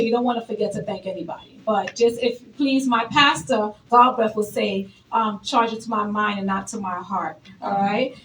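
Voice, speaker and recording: Lively woman speaking in an echoey hall. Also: fairly high pitch. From Brooklyn, New York. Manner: lively, energetic, engaging the audience. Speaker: elected official. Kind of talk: public speech at an event. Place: event hall. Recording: roomy, distant, noisy event hall.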